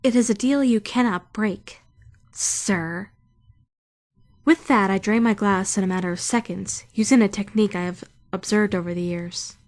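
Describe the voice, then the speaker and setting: A woman's voice: medium pitch, normal speed, natural voice. A woman voicing a prim, socially awkward young trainee who narrates a visual novel fan dub in formal, bookish language. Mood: prim, deadpan.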